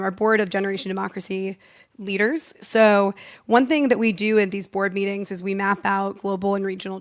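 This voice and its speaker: A young woman speaks as a panelist, her voice thick with vocal fry. She delivers semi-formal, partly off-the-cuff remarks at an ordinary pitch. Her manner is earnest, with informal turns of phrase. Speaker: youth democracy network staffer, panelist.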